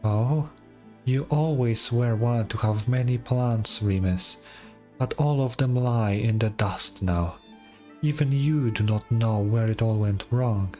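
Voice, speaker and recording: An ancient dragon lord played by a man speaks in a stern, scornful manner. The delivery is deliberate and grandiose, like fantasy game dialogue, with faint music underneath. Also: mid-range voice, warm tone, lightly acted. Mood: scornful, solemn.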